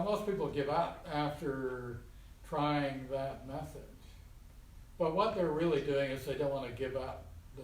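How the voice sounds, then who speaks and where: A man's voice: mid-range voice, darkish tone. A man giving an informal spiritual talk to a small group in a folksy, colloquial way, repeating phrases for emphasis. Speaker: spiritual teacher. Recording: roomy, distant mic with faint noise.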